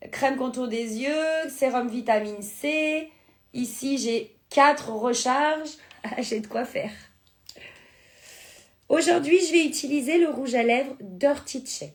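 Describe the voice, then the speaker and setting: Spanish-native lady chatting on a live sale, high voice, answers comments as they come. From born in France, Spanish family. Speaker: beauty product seller on a live stream. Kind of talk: casual live-stream sales chat.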